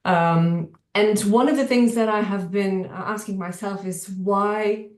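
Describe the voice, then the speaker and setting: A woman giving reflective feedback in an academic discussion on a video call, hesitant and halting, repeating phrases as she thinks. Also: medium pitch, a little mellow. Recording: roomy echo, distant from the microphone.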